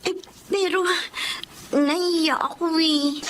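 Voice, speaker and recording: A woman's voice: very high voice, steady tone, slow, a little hiss or hum. A woman playing a shy, kind and naive teenage schoolgirl with a timid, hesitant manner in a Tagalog radio drama. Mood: hesitant, worried.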